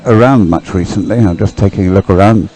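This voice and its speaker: A man's voice: fairly low pitch, brisk, mellow, soft background music, poor audio. An older man giving unscripted live race commentary in a hesitant, musing, explanatory way.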